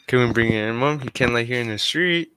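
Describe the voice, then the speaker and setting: A man's voice: medium pitch, fairly quick, bright, forceful tone, clear, resonant tone, natural voice. An actor playing a concerned, deferential working man from a street crowd in old-style radio drama, plain-spoken. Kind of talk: 1940s radio drama acting.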